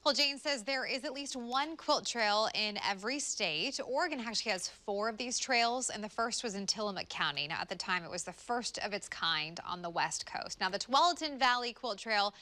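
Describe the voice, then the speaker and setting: A woman in her thirties reporting a local news feature in a studio, with smooth scripted newscaster delivery. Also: on the high side, lively intonation, moderate pace, few pauses, sharp tone, no echo.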